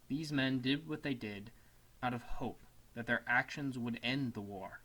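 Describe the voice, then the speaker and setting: A man's voice: mid-range voice, fairly bright tone, poor audio. Man giving a solemn scripted reading. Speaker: student narrator. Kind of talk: scripted narration, dramatic reading of an essay.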